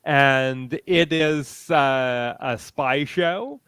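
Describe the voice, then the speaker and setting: A man speaking as a convention panelist, explaining things haltingly and often pausing mid-sentence in a discussion. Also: medium pitch, a bit bright, smooth, dry recording, clean studio sound. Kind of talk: panel discussion of audio dramas.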